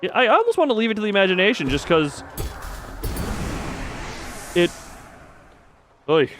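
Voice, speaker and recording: A male streamer chats casually through his gameplay in a slightly high, very animated voice. He rambles playfully, bursting into exclamations and silly effects. Music plays softly behind him. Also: normal speed. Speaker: live streamer. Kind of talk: casual live gameplay commentary.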